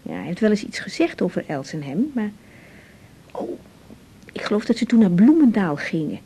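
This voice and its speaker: A woman's voice: mid-range voice, sing-song, moderate pace, warm tone, slightly rough. A Dutch actress playing an anxious, affectionate young woman doctor in a naturalistic radio drama, hesitant and searching for words. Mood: troubled, confiding. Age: young adult.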